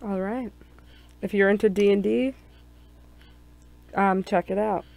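A gaming show host chats in a casual way. The delivery is unhurried, and the voice is gravelly with fry. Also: medium pitch, fairly even intonation, darkish tone. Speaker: host of a visual novel show.

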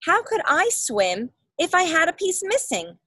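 A woman's voice: very high voice, sing-song, a little slow, pushed and bright, dry recording, pitched above the performer's own voice, exaggerated voice. A woman voicing big, daring storybook creatures who answer with emphatic disbelief. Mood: incredulous. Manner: incredulous, emphatic.

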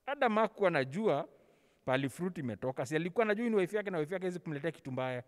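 A man's voice: on the high side, expressive, rapid-fire. A middle-aged Kenyan man teaching a children's church class, telling a Bible story theatrically, acting out dialogue and slipping casually between languages. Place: large church hall, on stage. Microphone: handheld microphone, held close over face mask. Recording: long hall reverb.

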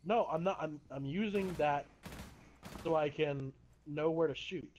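A young man giving bossy, profane orders to teammates in an online match, his voice worn hoarse. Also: fairly high pitch, measured pace. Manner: animated, profane, bossy callouts. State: losing his voice. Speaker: gamer recording his match. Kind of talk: casual gaming banter. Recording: game-chat audio with faint noise.

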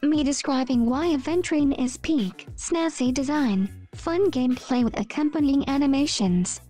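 A woman's voice: sits high, moderate pace. A woman doing a scripted meme voice-over, reading out captions and short lines. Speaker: meme video narrator. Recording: music under the voice. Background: background music.

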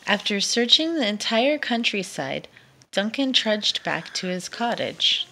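Expressive, unhurried woman reading a picture book. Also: mid-range voice, slight background noise. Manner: expressive, deliberate storytelling. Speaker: storytime reader. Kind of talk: children's picture-book read-aloud.

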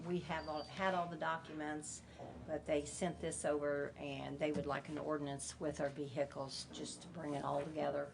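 Low, creaky-voiced American woman. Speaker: town clerk treasurer presenting an ordinance. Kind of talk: informal spoken explanation. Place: indoor public meeting room. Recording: noisy meeting room audio.

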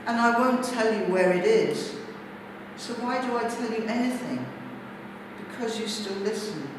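A middle-aged woman with a British accent, a poet speaking to an audience in deliberate, measured phrases. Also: a little high, animated. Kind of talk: poetry reading and reflective talk to an audience. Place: large bare brick-walled gallery room, indoors. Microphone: distant camera microphone. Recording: very echoey, noisy gallery hall, distant mic.

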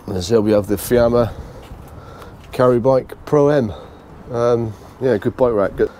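A British man giving a casual, unscripted walkthrough tour, speaking haltingly and repeating phrases as he goes. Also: mid-range voice, warm tone, a little hiss or hum. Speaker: vlogger giving a motorhome tour.

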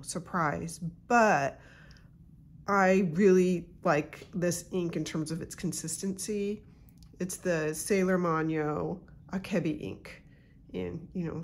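A woman hosting her own channel, congested from a cold, chatting casually and unhurriedly with soft asides while she unboxes a pen at her desk at home. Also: fairly low pitch, a little hiss or hum. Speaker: YouTube channel host.

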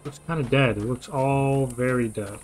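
A young man casually commenting as he plays a video game, musing quietly to himself. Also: moderate pace. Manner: mumbled, low-key musing to himself. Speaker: gaming streamer. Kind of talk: relaxed let's-play commentary.

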